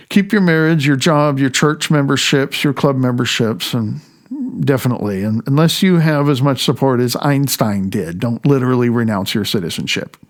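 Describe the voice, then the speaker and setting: Slow-paced man narrating an audiobook, creaky voice. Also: mid-range voice. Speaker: author reading own audiobook. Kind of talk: audiobook narration with recited affirmations and asides.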